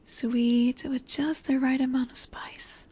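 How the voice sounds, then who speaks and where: A woman playing a dominant, nurturing snake-woman monster in an intimate roleplay audio, speaking in a gentle, coaxing way that often sinks toward a whisper. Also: sits high, level tone, slow pace, breathy edge, heavily acted. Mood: gently firm.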